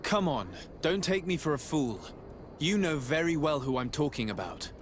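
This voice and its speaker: A male actor plays a noble legate in a fantasy game, composed and insistent. His voice is fairly high for a man, and his speech is formal and persuasive. Clear ambient noise runs behind him. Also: lively intonation, normal speed, natural voice. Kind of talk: game cutscene acting.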